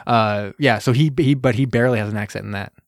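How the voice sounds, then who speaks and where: A man's voice: lowish voice, quick pace, dry recording, clean studio sound. A man hosting a casual movie podcast, joking and laughing as he steers the talk. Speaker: podcast host.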